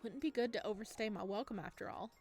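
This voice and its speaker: A woman narrating a visual novel in a playful let's play, chatty and giggly, with expressive reactions. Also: medium pitch, fast talker. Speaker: gaming video host reading a visual novel aloud. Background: game music.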